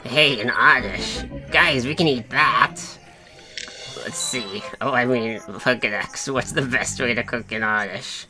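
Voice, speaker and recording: A woman's voice: extremely low pitch, unhurried, over music. A woman voicing a brash, foul-mouthed, hungry boy trainer in an improvised cartoon fandub, slangy and exasperated. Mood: casual.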